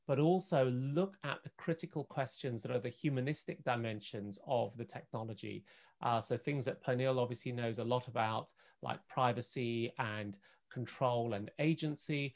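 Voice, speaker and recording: Articulate man in his forties. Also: medium pitch. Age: 40s. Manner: measured, articulate with frequent fillers. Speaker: panelist. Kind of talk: video-call panel discussion. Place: indoor home room. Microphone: video call webcam.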